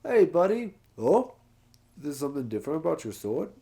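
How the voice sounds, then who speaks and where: Man voicing an excitable, chummy Goron researcher, lively pitch. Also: fairly quick.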